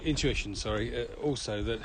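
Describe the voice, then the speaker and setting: A man hosts a relaxed-format interview chat, prompting and summarising as he goes. His delivery is conversational. He is recorded outdoors on a walk, with clear ambient noise around his voice. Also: mid-range voice, a little fast. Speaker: podcast host asking questions. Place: outdoors, walking. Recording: noisy outdoor walking recording.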